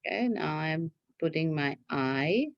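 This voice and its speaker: Female craft teacher for kids, unhurried and patient, repeats each step; dry, slightly dull lo-fi sound. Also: low-pitched, animated, vocal fry, dry recording. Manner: patient, repetitive, checks with listeners. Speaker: teacher leading a children's craft class. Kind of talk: step-by-step craft instruction for children.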